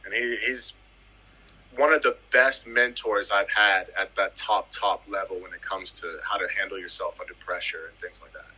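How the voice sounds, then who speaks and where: A man in his thirties from the US Virgin Islands tells his football story in a relaxed interview. His voice is very bright and edged with husk, and he leans on repetition for emphasis. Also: low-pitched, moderate pace, slightly rough, rough recording. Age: 30s. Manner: animated, emphatic, repeats phrases for effect. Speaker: footballer guest.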